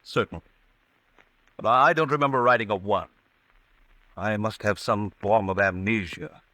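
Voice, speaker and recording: A man acting a haunted, guilt-ridden man in an old-time radio drama, grave, halting and intense. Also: lowish voice, bright, forceful tone, no room sound, rough recording. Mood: resigned guilt. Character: haunted, guilt-ridden man convinced of his own crime. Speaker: radio actor.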